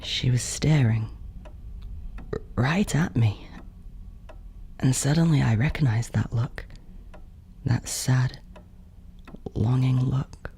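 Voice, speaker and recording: Bitter sibling ghost , played by an actor for horror audio drama: hushed, mellow and creaky, brooding, yearning. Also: medium pitch, a little hiss or hum. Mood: haunted, longing. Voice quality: breathy, often near whisper, creaky. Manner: slow, halting, brooding.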